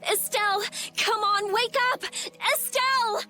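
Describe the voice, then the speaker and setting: A woman voicing a spirited young girl companion who speaks in a bright, emphatic way. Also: very high-pitched, steady tone, unhurried, soft background music, heavily acted. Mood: pleading. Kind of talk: video game voice acting.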